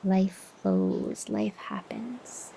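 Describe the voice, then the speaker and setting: A woman leading a guided meditation as a teacher, soothing and unhurried, with long pauses and breathy phrase endings that drop toward a whisper. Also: a bass voice, wildly swooping pitch, mellow. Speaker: meditation teacher and podcast host.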